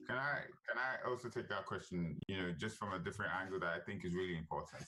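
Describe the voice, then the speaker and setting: A man speaking on a virtual panel. His voice is on the low side, and he drives his points home emphatically, often tagging them with "right?". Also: fast, bright, forceful tone, poor audio. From Nigeria. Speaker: panelist, comic company head.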